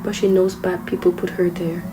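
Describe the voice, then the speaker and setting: A woman's voice: on the low side, flat delivery, moderate pace, music in the background, lightly acted, poor audio. A woman voicing a solemn, haunted little girl in terse, matter-of-fact horror dialogue.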